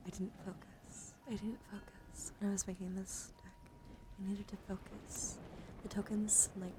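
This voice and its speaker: A woman with a medium-pitched, noticeably creaky voice hosts a casual ASMR stream. She is playful and easily sidetracked, moving between soft talk and hushed whispering close to the microphone. Clear noise sits behind her voice. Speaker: live streamer hosting an ASMR card stream. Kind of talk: ASMR and casual chat to viewers. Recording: clearly audible background noise.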